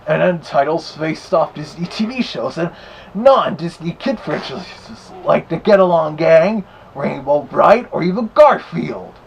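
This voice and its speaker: A man voicing the Big Bad Wolf as a chatty vlog host with a gravelly, husky voice, casually reviewing. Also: fairly high pitch, full character voice, low-quality recording.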